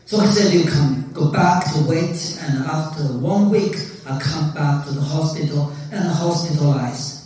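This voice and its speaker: A man, a pastor, interpreting a guest's church testimony into English live, hesitant and halting as he translates. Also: fairly high pitch, darkish tone. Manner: hesitant, many uh fillers while translating. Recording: echoey church hall, distant mic.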